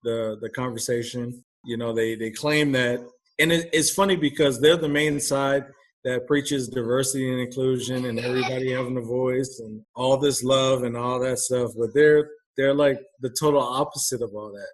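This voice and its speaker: A man's voice: mid-range voice, fairly even intonation, moderate pace, low-quality recording. A man speaking as a guest in a casual conversation, talking bluntly and candidly, with many restarts and "you know" fillers. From grew up overseas in a military family. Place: lives in Texas.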